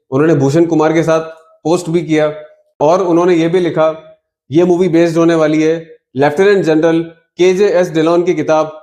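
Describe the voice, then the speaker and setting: A man with a noticeably high voice delivers opinionated film news commentary. He speaks emphatically and drops English words into his talk. The sound is roomy, with clear echo around the voice. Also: darkish tone. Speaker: video commentator.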